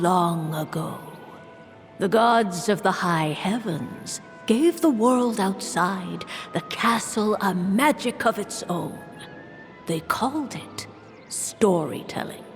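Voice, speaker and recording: A woman acting a mysterious, composed prophetess in a video game, telling lore in a measured, formal way. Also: mid-range voice. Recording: long reverb, music behind.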